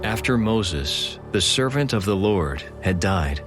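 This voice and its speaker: Low-voiced male scripture narrator. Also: animated, takes it slowly. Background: music.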